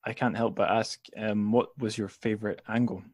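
Lowish-voiced man hosting, dry sound. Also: fairly even intonation, normal speed, a little mellow, no room sound. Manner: hesitant, rambling, circling back as he phrases questions. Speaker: podcast host, interviewer. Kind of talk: casual interview questioning.

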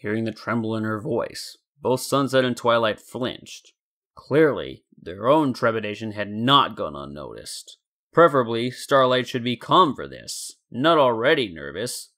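Male story narrator, medium pitch. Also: big pitch swings, unhurried, a bit bright. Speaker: narrator and fan fiction reader. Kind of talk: dramatic scripted story narration.

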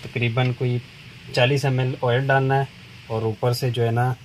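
A middle-aged man, calmly explaining step by step how to fit a chainsaw blade at a tool shop counter. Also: medium pitch. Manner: plain, unhurried explaining while working with his hands. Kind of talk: step-by-step product demonstration. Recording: noisy shop recording.

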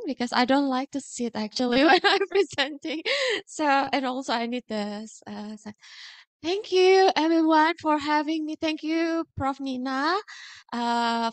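A middle-aged woman with an Indonesian accent gives a public lecture in a chatty, self-deprecating way, with many fillers, on a handheld mic in a lecture hall. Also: high voice. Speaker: guest lecturer.

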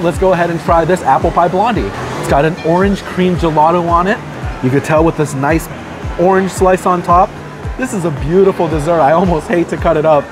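A man in his thirties with a slightly high voice eagerly reviews dishes to camera, holding the phone at arm's length. He sounds enthusiastic and savoring. Clear music plays behind him in a busy restaurant. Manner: enthusiastic, savoring each bite with upbeat praise. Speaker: food vlogger. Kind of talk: casual food review to camera. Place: busy restaurant dining room, indoors. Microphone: phone or camera held at arm's length. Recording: background music playing in restaurant.

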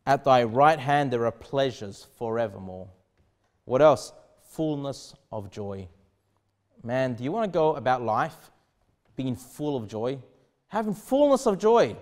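A man in his thirties, a pastor preaching a sermon in earnest, with sudden emphatic rises and quiet drops. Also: mid-range voice, expressive, a little slow. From Australia. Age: 30s. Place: small church studio behind a pulpit desk.

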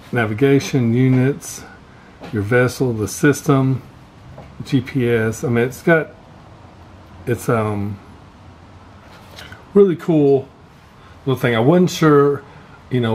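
A man giving a casual, unscripted hands-on gear walkthrough as a vlogger, pausing often mid-phrase. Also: medium pitch. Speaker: vlogger showing fishing gear. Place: indoor garage workshop. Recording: steady background noise, room ambience.

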